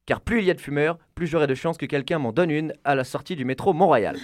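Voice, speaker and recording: A man delivering a comic radio column in a punchy, deadpan way. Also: a little high, fast, dry recording, clean studio sound.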